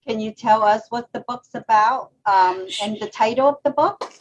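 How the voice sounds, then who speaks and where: A woman co-hosting a casual talk show, lively, laughing and restarting her phrases, heard over a video call. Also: fairly high pitch, moderate pace. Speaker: co-host. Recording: slightly dull lo-fi audio with faint background noise.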